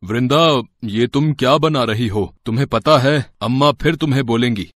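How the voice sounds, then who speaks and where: A man's voice: medium pitch, normal speed, dry recording, studio quality, natural voice. Subdued, disheartened husband acted by a man. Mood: concerned. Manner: subdued, anxious.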